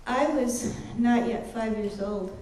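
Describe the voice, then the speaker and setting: A woman in her seventies whose first language is German, speaking in a halting, reflective way with many pauses and fillers. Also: fairly high pitch, a little mellow, slight background noise. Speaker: panelist, child refugee. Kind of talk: personal testimony at a lecture. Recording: very echoey lecture hall.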